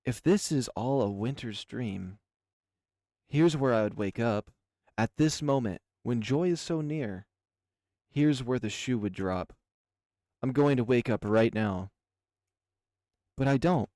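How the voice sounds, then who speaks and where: A man narrates a visual novel in the first person. His voice is medium in pitch and expressive in delivery, set over clearly audible music. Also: slow pace, long pauses, no echo, studio quality.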